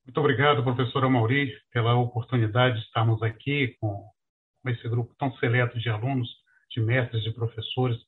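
An older Brazilian man from Rio de Janeiro with a husky voice, giving a courteous, conversational introduction as a guest presenter over a video call. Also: mid-range voice, fairly even intonation, brisk, a little hiss or hum. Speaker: guest presenter, international mobility professional. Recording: muffled, narrow-band video call audio.

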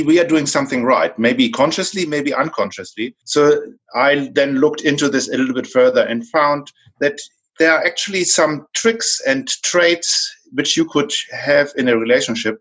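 German man in his 50s, podcast guest, husky voice, earnest and explanatory. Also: mid-range voice, normal speed, a bit bright. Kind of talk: podcast interview.

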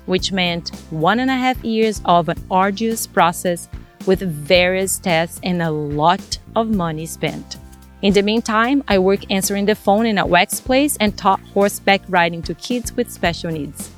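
A woman in her forties from Brazil, speaking English with a Brazilian Portuguese accent and hosting a podcast in an earnest, measured way. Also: mid-range voice. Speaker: podcast host. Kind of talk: scripted podcast intro and casual chat.

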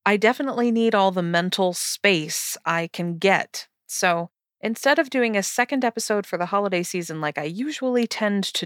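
A woman presents a podcast introduction in a scripted, personal address. Her voice sits at a middle pitch, recorded dry with very little room around it. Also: dry recording. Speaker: podcast host.

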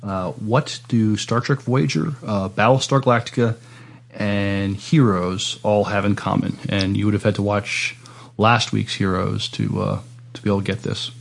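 A man's voice: on the low side, fairly even intonation, moderate pace, gravelly. A man chatting casually as a co-host on a podcast.

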